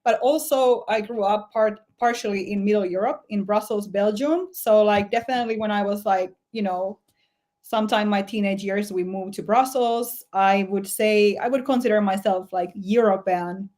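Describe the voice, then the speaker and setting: A woman's voice: medium pitch, normal speed, a little mellow. Woman, Finnish accent, Finnish native speaker, guest musician, thoughtful, hesitant. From Finland. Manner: thoughtful, hesitant, many "like" fillers. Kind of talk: casual interview answers.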